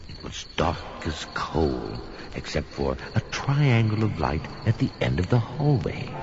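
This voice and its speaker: An actor playing a sinister old storyteller telling a spooky Halloween tale in a theatrical, dramatic way. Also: male voice, very deep voice, gravelly, very noisy, exaggerated voice. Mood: creepy, inviting. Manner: slow, dramatic, whispers and outbursts. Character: sinister old storyteller welcoming listeners into his home. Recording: dull lo-fi recording. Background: music.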